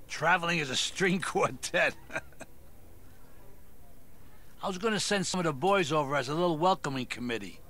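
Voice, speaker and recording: An aging mafia boss from a crime game, cocky and menacing. His voice is high and forceful, swinging widely in pitch as he throws his weight around. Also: lively intonation, quick pace. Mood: commanding. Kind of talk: video game cutscene acting.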